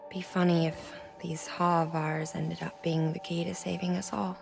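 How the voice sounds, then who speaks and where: An actor playing a wry male doctor in a video game cutscene, speaking in a flat, reassuring, half-whispered way. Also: a little high, normal speed, gravelly. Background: game soundtrack music.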